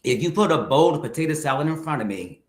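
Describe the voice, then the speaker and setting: A man's voice: on the high side, expressive, quick pace. A man in his fifties, a guest in a conversational interview, reflecting candidly and speaking directly to viewers. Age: 50s. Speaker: guest, mukbang creator. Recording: roomy echo.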